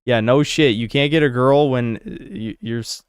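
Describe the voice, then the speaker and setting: A man's voice: mid-range voice, normal speed, clear, resonant tone, dry recording, studio quality. A young American man streaming, reading donation messages and answering chat in a blunt, crude, sarcastic way. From United States.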